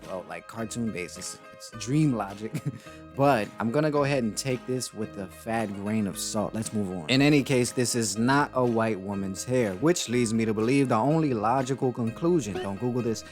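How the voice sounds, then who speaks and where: A man's voice: medium pitch, normal speed, faint music behind. A man giving casual video commentary on a cartoon, wry and joking in a conversational way. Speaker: YouTube commentator.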